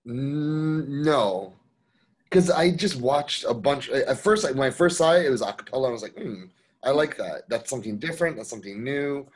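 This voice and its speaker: A middle-aged man with a slightly husky voice hosts an interview over a video call from his home office. He speaks haltingly, stopping and restarting his sentences with frequent fillers. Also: mid-range voice, lively intonation. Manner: halting, many ums and restarts. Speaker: magazine interviewer. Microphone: video call mic.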